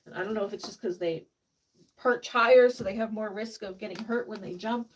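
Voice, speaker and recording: A woman chatting casually and softly during a livestream from a barn, laughing often and cooing baby talk to her chickens. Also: medium pitch, a little fast, a little hiss or hum, rough recording. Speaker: vlogger caring for animals.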